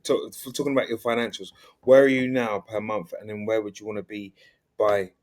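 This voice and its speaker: A man's voice: on the low side, flat delivery, a little fast, a little mellow. Male interviewer, rephrasing as he goes. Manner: rambling, rephrases questions. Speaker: interview host. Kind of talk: interview questions.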